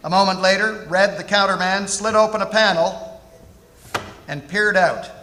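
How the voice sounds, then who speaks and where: High, bright-voiced detective narrator played by a man. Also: steady tone, normal speed, slight background noise. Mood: storytelling. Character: hard-drinking war veteran private detective narrating his case. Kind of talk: scripted first-person radio play narration. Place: live stage in a theatre. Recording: echoey theatre hall, music behind.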